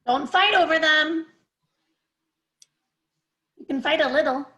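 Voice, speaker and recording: A woman's voice: high-pitched, slow, sharp tone, muffled recording, heavily acted. A woman reading a sweet, motherly sitcom character in a table read, recorded in an echoey room. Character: sweet, motherly woman offering homemade pies.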